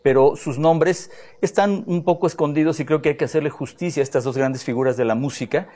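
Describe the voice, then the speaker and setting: A man's voice: on the high side, a little fast, mellow. A man, an interview guest explaining things fluently and enthusiastically in a conversational way. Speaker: music journalist and musician, interview guest. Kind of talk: conversational explaining, promoting an event.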